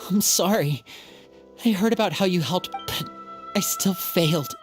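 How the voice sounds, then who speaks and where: A woman's voice: lowish voice, unhurried, soft background music, natural voice. A woman voicing an earnest, deferential youth in a video game, with a pleading manner. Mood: pleading, apologetic. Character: earnest, respectful youth pleading with a feared parent.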